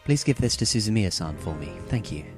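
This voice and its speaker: Man acting a low-voiced, polite teenage boy organizer. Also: sing-song, faint music behind. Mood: calm, evasive. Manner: courteous, measured announcing. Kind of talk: anime-style audio drama acting.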